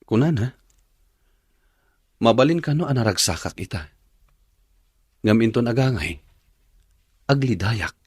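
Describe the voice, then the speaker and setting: A man's voice: mid-range voice, big pitch swings, unhurried, pauses often, husky, dry recording, clean studio sound. A man with an Ilocano accent acting a lovestruck, defensive man in a radio drama. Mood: puzzled, defensive. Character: lovestruck, defensive man in love with a separated woman.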